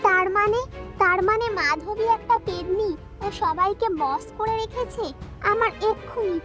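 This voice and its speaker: A woman's voice: extremely high pitch, a little slow, a bit bright, faint music behind. A woman playing a friendly, perceptive young woman in a children's cartoon, with an earnest delivery. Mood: worried.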